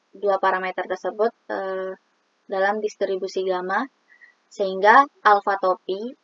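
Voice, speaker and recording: A woman's voice: mid-range voice, lo-fi sound. Hesitant woman explaining math formulas. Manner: hesitant, many fillers, reads formulas aloud step by step. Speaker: student presenting a statistics exercise.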